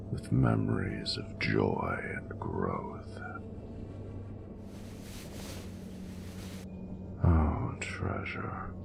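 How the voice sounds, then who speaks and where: A man playing an ancient, tender male dragon in a romantic audio roleplay, speaking formally and poetically in an intimate, breathy rumble. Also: full character voice. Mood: tender, regretful. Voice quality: very deep, gravelly, breathy rumble. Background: music.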